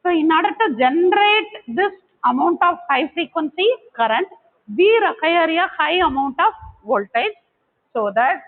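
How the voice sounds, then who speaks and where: A woman giving a technical lecture, explaining step by step in short, halting phrases. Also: very high-pitched, lively intonation. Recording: tinny, phone-like narrowband audio.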